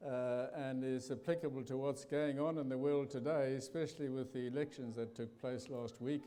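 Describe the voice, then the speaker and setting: An older man with a South African accent giving a testimony talk in church, pausing often with fillers and restarts. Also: medium pitch, fairly even intonation, dark tone. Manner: halting, many fillers and restarts. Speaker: guest preacher.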